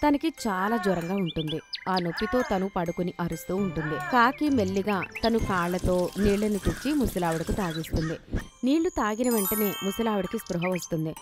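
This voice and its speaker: A woman's voice: medium pitch, lively intonation, a little fast, music in the background. A woman telling scripted children's moral stories as narrator. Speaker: storyteller, narrator.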